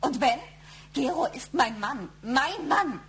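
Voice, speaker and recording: An actress playing an emotional, long-suffering, fiercely loyal wife in a German radio crime drama, fervent and wounded. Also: female voice, sits high, expressive, slow pace, a bit bright, slight background noise, exaggerated voice. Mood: accusing.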